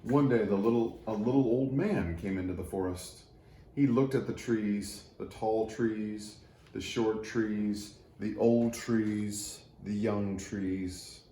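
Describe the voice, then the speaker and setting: Male children's story narrator, lowish and mellow, expressive phrasing, recorded in an echoey room. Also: takes it slowly. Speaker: storybook reader for church children. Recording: echoey room, faint background noise.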